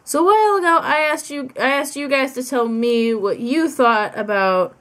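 A woman's voice: high-pitched, moderate pace, fairly bright tone, a little hiss or hum. A young woman from Kentucky introducing her video in a casual, bubbly, slightly flustered way. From Louisville, Kentucky. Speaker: video creator and host.

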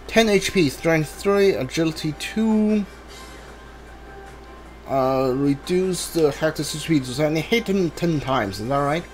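A man giving casual video game commentary, thinking aloud haltingly and reading out on-screen text as he plays. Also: on the high side, animated. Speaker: video game streamer doing a playthrough. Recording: game music behind the voice. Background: video game music.

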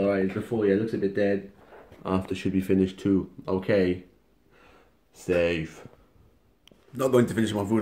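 A young man chats to his phone camera in a home kitchen, cracking jokes in street slang. His voice is low and mellow, and the room echoes around him. A faint hiss of noise sits underneath. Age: young adult. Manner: cheeky, joking slang banter. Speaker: vlogger. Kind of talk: casual vlog to camera. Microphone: phone camera held at arm's length.

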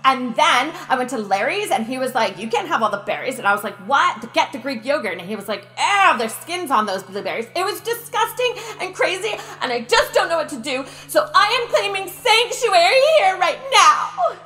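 A woman's voice: high-pitched, big pitch swings, moderate pace, hardly any pauses, pushed and bright, soft background music, heavily acted. A middle-aged woman playing a frantic, demanding, fussy woman in an improvised soap opera, whiny and rambling. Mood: frantic, indignant.

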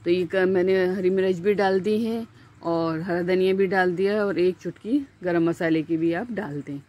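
A woman with a Pakistani Urdu accent, hosting a home cooking channel and explaining each step in a chatty voice-over with small pauses. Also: lowish voice, steady tone, dull audio. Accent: Urdu-speaking Pakistani.

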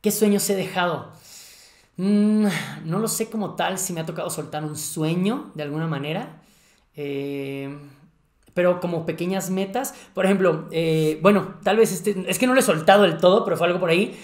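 A man of thirty with a Mexican accent, hosting a podcast and chatting casually with lively gestures and plenty of slang. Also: sits high, normal speed, roomy sound. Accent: Mexican Spanish. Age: 30s. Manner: animated, gestures a lot, slangy. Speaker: podcast host. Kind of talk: casual chat. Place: living room with a fireplace.

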